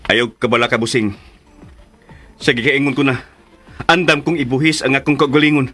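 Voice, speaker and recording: A man's voice: medium pitch, steady tone, normal speed, sharp tone, faint music behind. An actor playing a humble, loyal and anxious male servant in a radio drama, stammering and deferential. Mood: earnest, devoted.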